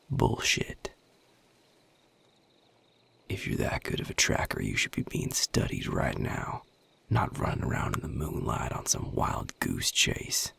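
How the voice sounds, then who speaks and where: A man voicing a guarded, gruff vampire with a Southern US accent in an audio roleplay, husky and breathy, drawling with sardonic, curt authority. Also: a bit bright, heavily acted. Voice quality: gravelly, husky, breathy low voice. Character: guarded, gruff Southern male vampire defending his territory. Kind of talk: audio roleplay addressed to the listener.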